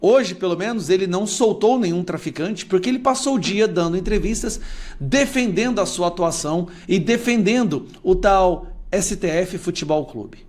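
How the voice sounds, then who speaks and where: A man's voice: sits high, expressive, moderate pace. A man giving outraged political commentary, speaking emphatically and directly to the viewers. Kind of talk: indignant video commentary.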